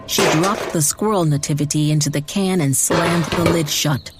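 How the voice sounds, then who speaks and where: A woman reading dramatized fiction narration in a measured way with deliberate emphasis. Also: sits low, loud music behind the voice. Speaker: audiobook narrator.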